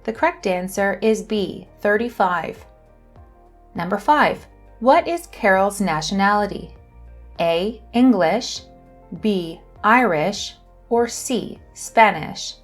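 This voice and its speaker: Woman host reading a beginner listening test, very slow and clear, soft music underneath. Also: medium pitch, animated. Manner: slow, careful reading of questions and answers. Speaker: English teacher and channel host. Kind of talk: scripted lesson narration.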